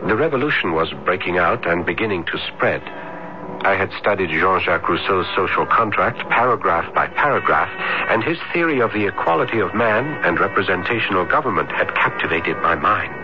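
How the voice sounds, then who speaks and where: An actor playing a tormented young student, earnest and intense, in a theatrical American radio drama style. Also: male voice, low voice, animated, a little fast, music in the background. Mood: brooding narration. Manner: intense, theatrical, hesitant at times. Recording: muffled vintage radio drama recording.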